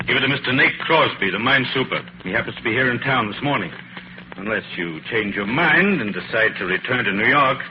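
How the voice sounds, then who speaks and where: A menacing, scheming company boss, played by a man in a radio mystery. His mid-pitched voice is formal and measured, with an ominous edge. The old recording is dull and full of noise. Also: lively intonation, lightly acted. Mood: guarded, formal. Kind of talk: old-time radio drama acting.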